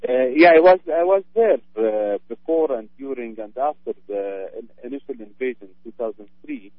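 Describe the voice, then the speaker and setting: A man's voice: mid-range voice, lively intonation, moderate pace, no room sound. A man from Iraq, a radio interview guest who speaks hesitantly with many fillers. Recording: dry, phone-like narrow sound.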